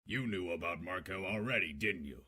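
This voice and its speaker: A man playing a gruff, wry police commissioner with a tough deadpan manner, in 1940s radio drama style. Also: deep voice, moderate pace, exaggerated voice.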